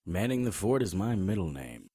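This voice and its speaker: A man's voice: low voice, big pitch swings, moderate pace, heavy background noise. A man narrating and acting the lead in a noir audio drama, dry and wry in manner.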